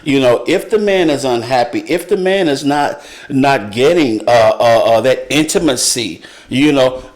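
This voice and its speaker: A man on a talk show panel speaking casually and haltingly, repeating short phrases for emphasis. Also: mid-range voice.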